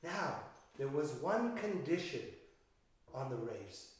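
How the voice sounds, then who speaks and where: Echoey, high-voiced man telling a slow story. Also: very animated. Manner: theatrical, deliberate pauses and emphatic repetition. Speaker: children's storyteller. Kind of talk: animated storytelling of a fairy tale. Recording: echoey room, distant microphone.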